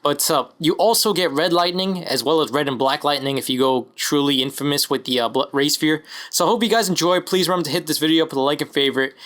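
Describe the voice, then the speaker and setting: A man's voice: a little high, fast, few pauses, fairly bright tone. A man hosting a gaming video, giving casual introductory commentary to viewers.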